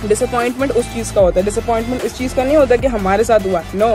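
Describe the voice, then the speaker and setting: A woman's voice: a little high, fast talker, music in the background. A twenty-one-year-old woman vlogging in a casual, rambling, opinionated way, switching back and forth between languages. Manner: rambling, opinionated, code-switching, often says "yaar" and "theek hai". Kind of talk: casual talking-head vlog.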